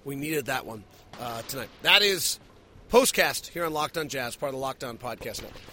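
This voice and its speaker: A man's voice: medium pitch, expressive, moderate pace, pushed and bright, a little hiss or hum. A man hosting a sports post-game podcast, breaking down the night's play as a broadcaster would. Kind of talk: post-game analysis and commentary.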